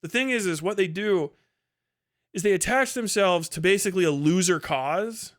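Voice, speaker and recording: A man's voice: sits high, normal speed, a bit bright. A man hosting a livestream, giving a casual, opinionated rant in a blunt, scornful, profane manner. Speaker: livestream host and commentator.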